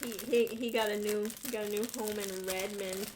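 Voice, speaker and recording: A woman, chatting casually to her viewers while unboxing a restock. She giggles often, and her voice is gravelly from being sick with the flu. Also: mid-range voice, steady tone, brisk, background noise. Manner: bubbly, giggly, talks to viewers. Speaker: vlogger and English teacher. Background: crinkling packaging.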